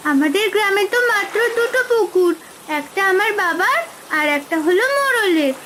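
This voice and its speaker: A woman's voice: very high voice, expressive, moderate pace, noisy background. A woman voicing an earnest little village girl, a devoted daughter in a children's cartoon. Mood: concerned. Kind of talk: Bengali cartoon voice acting.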